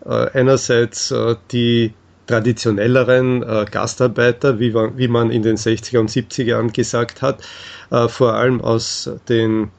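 A man is being interviewed. His voice sits at an average pitch. He explains things in a measured, halting manner, often hesitating as he goes. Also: little pitch movement, gravelly.

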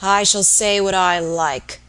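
A coarse, dying English innkeeper, acted out by a woman reader, growls hoarsely in rustic dialect. He is surly and bullying, pushing his words out louder and higher, as a storybook villain would. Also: normal speed, lightly acted. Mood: fierce. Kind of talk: audiobook character voice.

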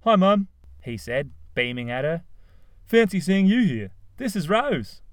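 A man's voice: sits high, measured pace, no room sound, studio quality, voice pushed up. Man putting on Cliff, a gushing young poet. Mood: excited. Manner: gushing, animated storytelling. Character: excitable young male poet, dreamy and self-dramatizing.

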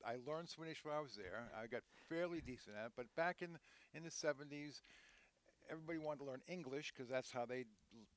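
A man's voice: fairly high pitch, fairly quick, dry recording. A man in his sixties, a guest on an interview podcast, telling long rambling stories full of restarts and fillers. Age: 60s. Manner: rambling, warm storytelling with many restarts. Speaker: guest, head equipment manager. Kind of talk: conversational interview.

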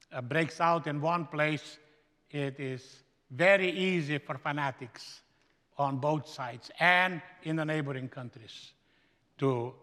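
A man with a Slovenian accent, a guest speaker giving a slow, emphatic sermon in a seminary chapel. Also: mid-range voice, pushed and bright. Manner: slow, emphatic preaching with stretched-out phrases. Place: large chapel. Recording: echoey hall with long reverb.

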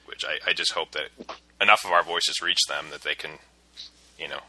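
A man's voice: extremely low pitch, brisk, pushed and bright, dry recording, low-quality recording. A man hosting a podcast interview, earnest and thoughtful, often restarting his phrases. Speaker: podcast host.

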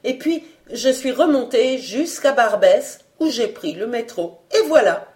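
A woman voicing an excitable, gossipy older housekeeper who talks in a rambling, colloquial way. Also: on the high side, a little slow, pitched above the performer's own voice. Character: chatty older female housekeeper, garrulous and excitable.

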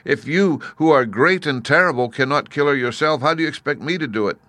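A man's voice: medium pitch, lively intonation, moderate pace, fairly bright tone, dry recording, voice pushed up, natural voice. A small, earnest little girl voiced by a male reader speaks in a lifted, plaintive voice. The delivery is simple and helpless, a storybook child pleading with grown-up powers, recorded close and dry. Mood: tearful, sad. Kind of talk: storybook character voice.

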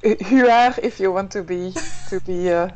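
A woman's voice: medium pitch, measured pace, a little mellow, slight background noise. A woman from Belgium, a guest on a podcast, speaking hesitantly with repeated words and light laughs. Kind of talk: casual interview chat.

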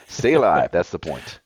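A man's voice: low voice, husky, no room sound. A man in his thirties chatting casually as a podcast co-host, blunt and laid-back with a slightly scratchy voice. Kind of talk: casual chat.

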